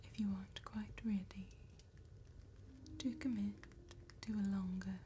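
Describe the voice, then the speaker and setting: A gothic vampire tattooist acted by a woman whispers in a soft, dark, breathy female voice. She speaks very slowly and deliberately, with attentive courtesy, as in an ASMR fantasy roleplay. Noticeable ambient noise sits behind the whisper. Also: mid-range voice, level tone, natural voice. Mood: calm, attentive. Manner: slow, deliberate, gentle phrasing with long pauses.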